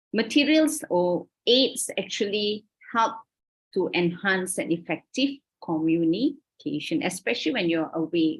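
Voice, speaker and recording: Malaysian lady lecturer on a video call, kinda husky, lowish voice, keeps saying okay. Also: rough recording. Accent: Malaysian English. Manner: explanatory, checks in often with "okay?" and "all right". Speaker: university lecturer. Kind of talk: online lecture.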